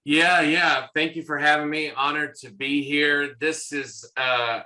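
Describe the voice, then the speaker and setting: Bright-voiced Southern man telling stories. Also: a little high, steady tone, unhurried. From American South. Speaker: church consultant and conference leader. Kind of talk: podcast interview guest.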